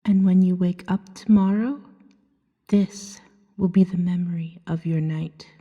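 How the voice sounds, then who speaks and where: A seductive female vampire, played by a woman, speaks in long, washy reverb. Her voice is soft, mellow and breathy, often close to a whisper. She tells her story very slowly, coaxing and hypnotic, in an ASMR roleplay. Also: fairly low pitch, fairly even intonation, lo-fi sound. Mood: soothing, hypnotic. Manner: slow, soothing, hypnotic second-person narration.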